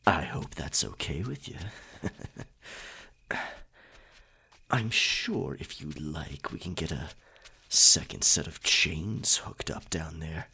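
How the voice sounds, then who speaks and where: A sly, domineering pirate captain for roleplay ASMR. His low voice is very husky and raspy, and he talks slowly and theatrically, with menace and dark amusement. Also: animated, exaggerated voice. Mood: teasing, amused. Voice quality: raspy, gravelly. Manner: slow, deliberate, theatrical pirate talk.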